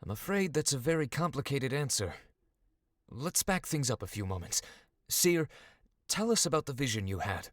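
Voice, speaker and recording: An actor playing a grave, musing male animal official in a visual novel, speaking in a measured, apologetic way. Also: mid-range voice, sing-song, fairly bright tone, no echo, studio quality. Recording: music behind.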